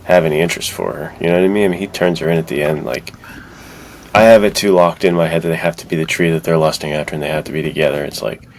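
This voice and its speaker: A man's voice: extremely low pitch, steady tone, fast, a little hiss or hum, rough recording. A man co-hosting a podcast, giving his opinions in casual, slangy conversation. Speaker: podcast co-host. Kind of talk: casual film and book discussion.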